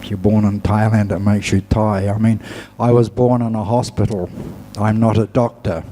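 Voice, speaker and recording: A man giving a Buddhist talk as a monk and teacher, speaking in a measured, halting way with long pauses. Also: lowish voice, flat delivery, warm tone, slight background noise. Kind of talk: dhamma talk to an audience.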